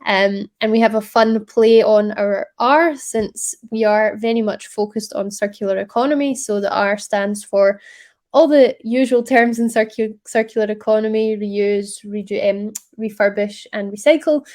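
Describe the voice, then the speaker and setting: A woman with a Scottish accent presents her startup over a video call. She speaks in a friendly, upbeat, conversational way, filling pauses with ums. Her voice sits at an ordinary middle pitch. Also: a little mellow. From Scotland. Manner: upbeat, chatty, frequent ums.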